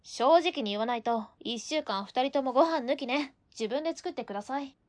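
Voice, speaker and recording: A woman plays a feisty girl of eighteen with a high, closely recorded voice. She is a strict young landlady in a radio drama, bossy and cheeky as she scolds her lodgers. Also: normal speed, no echo, natural voice. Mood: scolding. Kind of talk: Japanese radio drama acting.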